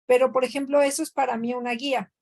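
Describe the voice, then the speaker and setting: A woman's voice: high-pitched, flat delivery, fast talker, creaky, a little mellow. A Mexican woman teaching a workshop over a video call, talking conversationally with many fillers and self-corrections. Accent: Mexican Spanish. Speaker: workshop instructor. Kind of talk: online training session.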